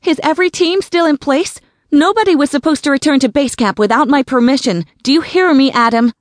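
A woman's voice: mid-range voice, normal speed, no room sound, studio quality, natural voice. A woman voicing a bold young female cryptid hunter barking urgent orders into a radio. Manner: urgent, bossy, shouting into a radio.

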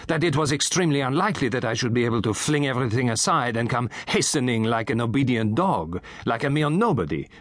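Brief: pompous little older detective, formal and precise, husky and dry , a man reading him. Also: medium pitch, fairly bright tone, no room sound, pitched above the performer's own voice. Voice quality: formal, precise, self-important phrasing. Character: fussy vain little Belgian male detective, older. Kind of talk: audiobook character voice.

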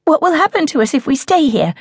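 A woman voicing an anxious, eager young girl who talks rapidly and insistently in a shrill voice. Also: expressive, no room sound. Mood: pleading.

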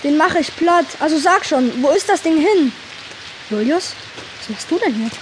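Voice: high voice, expressive, normal speed, slight background noise, low-quality recording. A performer playing an arrogant, whiny rich schoolboy in a children's audio drama, snooty and outraged.

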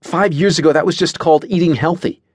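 A man voicing an excitable, opinionated young man in an audiobook story, eager and emphatic. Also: fairly high pitch, quick pace, breathy edge, no room sound, studio quality, voice pushed up. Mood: enthusiastic, opinionated.

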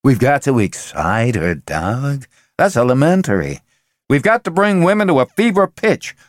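A man voicing a loud, pushy middle-aged sales executive who rants and lets his voice climb into shouts. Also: medium pitch, big pitch swings, moderate pace, no room sound, studio quality, pitched above the performer's own voice, close to an everyday voice. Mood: ranting. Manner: forceful, ranting, voice rising to shouts.

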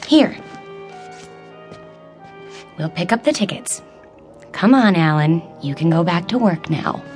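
A woman's voice: lowish voice, expressive, slow pace, darkish tone, soft background music. An actress playing an eager, determined married woman in a radio drama. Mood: determined, impatient.

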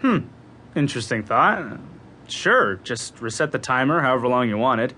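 An actor playing a cocky, easygoing bomb-disposal expert in an audio drama, wisecracking and excitable with a breezy manner. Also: male voice, medium pitch, moderate pace, slight background noise. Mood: cool, joking.

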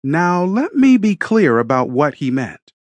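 A man narrating a scripted story dramatically in the first person, with deliberate, emphatic delivery and a husky voice. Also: fairly high pitch, big pitch swings, no echo. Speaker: audiobook narrator and author.

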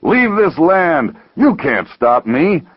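Man playing a self-righteous younger brother, indignant zealot, raised swooping pitch, emphatic declaiming, storybook parable, slightly dull audio. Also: expressive, fairly quick, husky, dry recording. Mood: furious, defiant.

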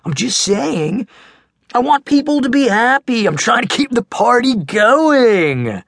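A huge, rowdy teenage boy, as a man puts him on, blasting and high-pitched with a loud, joking way of talking. The voice swings wildly and sounds a little husky, in a dry close space. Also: sing-song, slow, dry recording, heavily acted. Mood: teasing.

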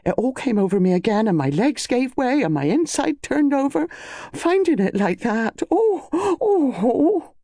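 Man acting a high, gasping, overwrought young maid. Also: very animated, measured pace, dark tone, no echo, studio quality, exaggerated voice. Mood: hysterical, gasping. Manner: gasping, sobbing.

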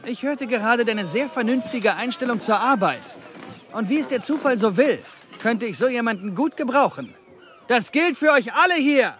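An actor playing a shrewd, courteous, genteel merchant who recruits men in an audio drama. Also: male voice, very high-pitched, lively intonation, normal speed, a bit bright. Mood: persuasive. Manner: courteous, persuasive, self-assured. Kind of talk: German audio drama acting.

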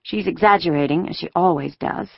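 An earnest young schoolgirl from a storybook, voiced by a narrator. The pitch is lifted high, and the manner is careful, polite and eager to reassure. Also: fairly quick, creaky, no room sound, lo-fi sound. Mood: reassuring.

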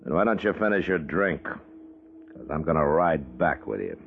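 An actor playing a stern, steady U.S. marshal in a 1950s radio western, terse and deliberate. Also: male voice, very deep voice, gravelly, exaggerated voice. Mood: dry, probing. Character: stern, steady U.S. marshal in the Old West, middle-aged man. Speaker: lead actor and narrator. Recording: muffled vintage radio recording with music.

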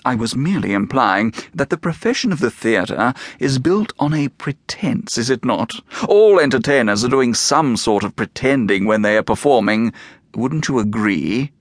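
A man reading an audiobook, voicing a scheming gentleman in a fez who talks in an oily, flattering way. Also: medium pitch, dry recording, studio quality, lightly acted. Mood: ingratiating. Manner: oily, flattering, slow and deliberate.

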